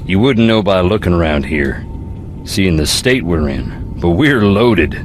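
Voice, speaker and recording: An actor playing a brooding, philosophical young ghost hunter in a video game, with a Southern US accent and a wry, folksy drawl. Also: male voice, deep voice, lively intonation, unhurried, soft background music. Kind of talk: video game character dialogue.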